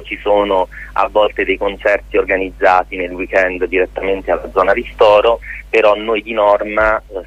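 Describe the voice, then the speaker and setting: A man speaking as a guest in an informal radio interview, explaining as the organiser of a theatre festival, with a husky voice. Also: deep voice, dull audio.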